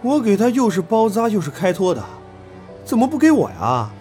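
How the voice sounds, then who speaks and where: Casting: flippant young idol, slightly high voice, highly expressive teasing, audio drama. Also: male voice, normal speed, faint music behind. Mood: playful, cheeky. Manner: playful, bantering. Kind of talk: Chinese audio drama dialogue.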